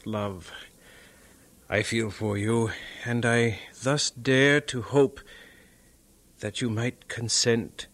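An ardent young suitor in an audio drama. He speaks slowly and tenderly at a middling pitch, with earnest, measured feeling. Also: steady tone, fairly bright tone, no room sound. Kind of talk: letter read in audio drama.

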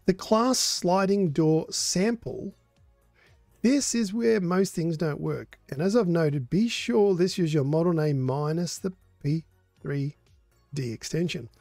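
Hoarse older man with a mellow voice. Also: on the high side, slow, no echo, soft background music. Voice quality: hoarse, coughing. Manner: relaxed, explanatory. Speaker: modding stream host. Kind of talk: live coding tutorial.

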